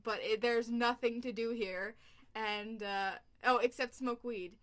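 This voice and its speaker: A woman's voice: fairly high pitch, steady tone, a little slow. Rambly giggly young woman. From United States. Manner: rambling with frequent fillers and giggles. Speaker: cover singer. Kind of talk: casual group chat.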